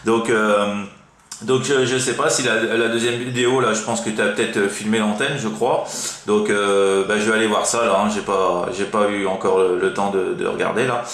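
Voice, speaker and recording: A man's voice: fairly low pitch, little pitch movement, normal speed, fairly bright tone. A man chatting casually over CB radio as an amateur operator, rambling and hesitant, often repeating himself. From France. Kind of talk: casual radio contact chat. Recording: roomy, echoing room sound.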